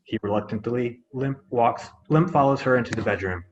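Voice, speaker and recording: A man's voice: mid-range voice, moderate pace, highs cut off. A man reading out scripted stage directions as narrator of a drama table read.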